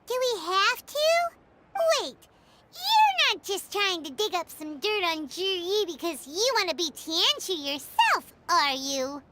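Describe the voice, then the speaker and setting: A woman voicing a small, excitable girlish game companion with a high squeaky childlike voice. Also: a little slow, heavily acted. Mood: whiny. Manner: bouncy, whiny, excitable. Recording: game audio with background noise.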